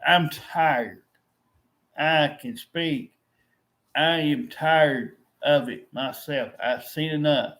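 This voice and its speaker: A man's voice: a little high, drawn-out words, fairly bright tone. A middle-aged man venting to his viewers, frustrated and repetitive, often restarting his sentences. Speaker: vlogger. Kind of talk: rambling to-camera vent.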